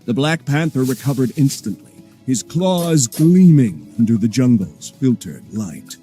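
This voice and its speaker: A man's voice: mid-range voice, very animated, a little slow, mellow, soft background music. A man narrating an action audio drama from a script in a dramatic storytelling style.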